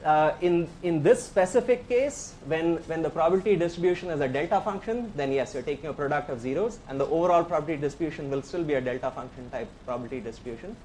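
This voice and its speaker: A man's voice: a little high, expressive, fast talker, few pauses, a little mellow, a little hiss or hum, low-quality recording. A man with an Indian accent, a professor giving a technical tutorial, explaining step by step in a conversational way and inviting questions. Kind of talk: technical lecture.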